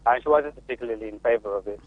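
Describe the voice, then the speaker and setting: A man's voice: mid-range voice, racing. A man from Zimbabwe with a Zimbabwean accent, a father on a radio panel calling in from the UK, talking hesitantly with many restarts. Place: remote call from the UK. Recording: dry, phone-like line.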